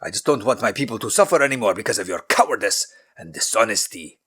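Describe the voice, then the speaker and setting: A man voicing an angry ancient king who speaks in a forceful, accusing way. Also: fairly low pitch, lively intonation, fast, husky. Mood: stern.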